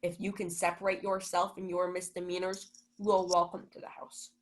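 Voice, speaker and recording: A woman's voice: lowish voice, level tone, normal speed, natural voice. A young woman playing a pompous, indignant male household steward in a Shakespeare radio play. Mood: indignant scolding. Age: young adult. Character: Malvolio, haughty scolding male household steward.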